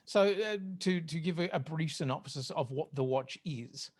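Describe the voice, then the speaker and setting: A woman's voice: low voice, normal speed, darkish tone, slightly rough. A woman talking casually as a panellist in a podcast discussion.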